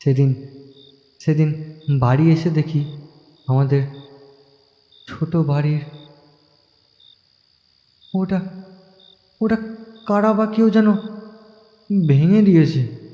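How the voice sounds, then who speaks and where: Casting: actor as wounded young man narrating his tragedy, high but mellow voice, halting and confiding, audio drama. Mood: sorrowful. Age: young adult. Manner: halting, repeating phrases, confiding in listeners. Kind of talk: dramatic first-person audio story narration. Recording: echoey, long reverb over music.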